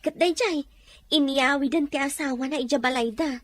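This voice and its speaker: A woman's voice: high voice, normal speed, no echo, natural voice. A woman playing a surprised, gossipy friend passing on news in a conversational radio drama.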